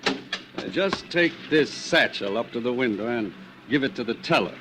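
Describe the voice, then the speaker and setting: An actor playing a sly crime boss in 1950s radio drama, coaxing and measured with small hesitations. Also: male voice, medium pitch, animated, natural voice. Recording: noisy old radio recording.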